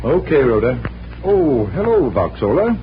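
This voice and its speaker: A man playing a calm, shrewd magician detective with composed, polite delivery in a 1940s radio drama. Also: mid-range voice, a little slow. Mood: easy, assured. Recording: muffled vintage radio recording, faint noise.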